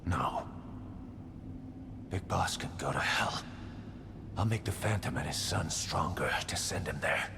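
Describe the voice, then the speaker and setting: An actor playing a bitter, vengeful middle-aged soldier in a dramatic game cutscene, his voice gravelly and hushed. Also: deep voice, lively intonation, unhurried, pauses often, exaggerated voice. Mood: cold resolve. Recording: noisy track with long hall reverb.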